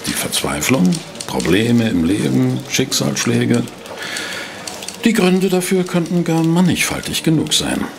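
An actor playing a courteous, inquisitive newspaper reporter in a German audio drama, speaking politely and formally. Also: male voice, mid-range voice, sing-song, a little slow, vocal fry, noisy background. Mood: concerned. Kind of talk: German audio drama acting and first-person narration.

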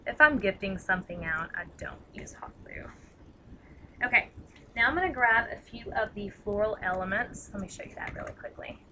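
A woman talks casually through a craft tutorial in a crisp, bright tone worn slightly raspy by a long day. She rambles warmly and pokes fun at herself as she works. A faint hiss sits under the voice. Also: mid-range voice, moderate pace, poor audio. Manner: chatty, rambling, self-deprecating. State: tired, slightly hoarse. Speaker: craft demonstrator.

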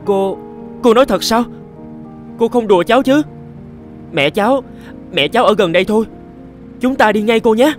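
Cartoon teenage boy, poor, resentful dreamer, emotional delivery, raised pitch, faint music. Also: expressive, moderate pace, pauses often. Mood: excited. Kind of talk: animated moral fairy-tale dubbing.

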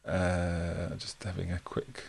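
A man in his forties with a Scottish accent, hosting a live stream from his bookshelf-lined study and answering viewer comments into a desk mic in a relaxed, friendly way. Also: sits low, slow pace, vocal fry, breathy edge. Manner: relaxed and friendly, thanks viewers, frequent fillers. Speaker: host. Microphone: desk microphone in front, webcam framing.

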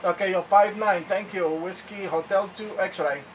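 A man's voice: high voice, steady tone, moderate pace, over loud music. A man operating an amateur radio, calling out call signs in clipped, repeated phrases. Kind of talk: amateur radio satellite contact calls. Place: indoor radio room. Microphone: camera mic away from the speaker. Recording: distant mic, loud noise, clicks.

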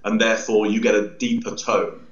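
A middle-aged man explaining body language as an interview guest, emphatic and animated, checking in with "yeah?" as he talks. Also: fairly low pitch, fairly quick. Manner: emphatic, gestures a lot, stands and moves around, tags lines with "yeah?". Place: indoor room with large window. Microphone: video call, webcam at distance. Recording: roomy echo, distant, dull webcam sound.

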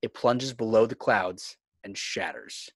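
A man narrating stage directions for a scripted fan table read in a measured, clipped way. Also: lowish voice, breathy edge, dry recording.

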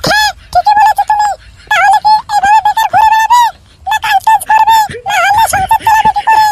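A man voicing a bossy but caring young wife in an amateur cartoon, with a pitch-shifted voice. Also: little pitch movement, fairly quick, a little hiss or hum. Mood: nagging. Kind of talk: amateur cartoon comedy dialogue.

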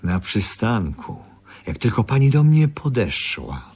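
A man's voice: lowish voice, sing-song, unhurried, darkish tone, slightly rough, exaggerated voice. An actor playing an unctuous, self-important male corset-maker in a radio drama, theatrical and insinuating. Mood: probing.

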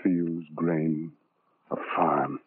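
An actor playing a lonely, self-loathing man in an old-time radio horror monologue, intense and halting, repeating phrases obsessively. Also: a bass voice, little pitch movement, husky, dry recording, heavily acted. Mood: brooding, haunted. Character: tormented, lonely, self-loathing ugly man recalling his past. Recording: tinny band-limited old radio, music behind.

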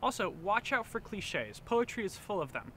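Teen guy reading school news outside, brightish and a bit high, faint wind and traffic. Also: sing-song, normal speed. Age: teens. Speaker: student announcer. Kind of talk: scripted announcement. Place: outdoors on a school field. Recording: faint outdoor ambience.

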